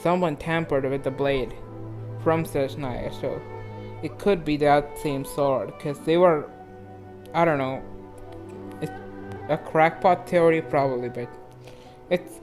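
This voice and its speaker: A man giving casual, excitable and rambling reaction commentary on a video game in an informal way. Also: on the high side, takes it slowly, pauses often. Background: game music.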